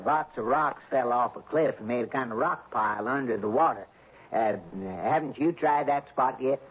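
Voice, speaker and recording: An American actor playing a folksy, genial, crafty lake lodge keeper in a 1960s radio drama. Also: male voice, mid-range voice, normal speed, husky, close to an everyday voice. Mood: feigned innocence. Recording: muffled, noisy old radio recording.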